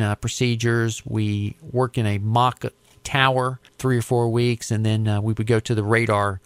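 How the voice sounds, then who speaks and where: A man's voice: medium pitch, clean tone, dry recording. Middle-aged American man, close dry recording. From United States. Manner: conversational, explanatory, with hesitant repeats. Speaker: interviewee, air traffic controller. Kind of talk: informal interview monologue, explaining a career.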